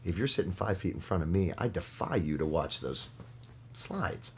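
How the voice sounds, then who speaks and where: A man's voice: low-pitched, sing-song, moderate pace. A man, a guest performer, chatting casually and explaining his craft in a studio interview with noticeable background noise.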